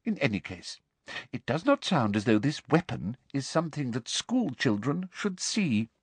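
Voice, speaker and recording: A woman's voice: rumbling low, very animated, moderate pace, husky, no echo, voice pushed up, full character voice. A woman voicing a harsh, power-hungry female official who speaks sharply with a false sweetness. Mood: harsh demanding.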